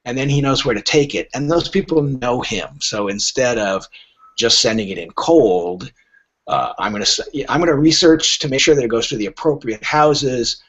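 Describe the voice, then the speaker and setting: A man's voice: mid-range voice, moderate pace, a bit bright, muffled recording. A man in conversational explaining mode as a podcast guest, emphatic, often repeating words as he starts and posing rhetorical questions. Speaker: literary agent, guest.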